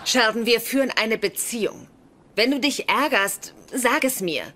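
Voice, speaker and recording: An actress playing an earnest, quirky young girlfriend in a dubbed sitcom, deadpan and matter-of-fact. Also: fairly high pitch, unhurried, exaggerated voice. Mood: earnest, patient. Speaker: sitcom character. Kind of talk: German-dubbed sitcom acting. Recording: sitcom soundtrack with loud noise, laughter.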